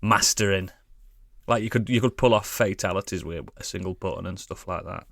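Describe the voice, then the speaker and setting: A man's voice: low-pitched, brisk, creaky, fairly bright tone, dry recording, clean studio sound. A British man chatting casually as a gaming podcast co-host, blunt, sweary and opinionated.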